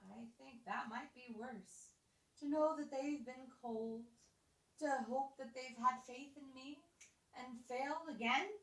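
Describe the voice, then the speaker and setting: A woman's voice: sits high, slow pace, a bit bright, full character voice. A woman voicing a fragile, motherly old bird in a puppet show, doting, hesitant and resigned. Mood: mournful, resigned. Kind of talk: live puppet show acting. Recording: echoey room, distant mic.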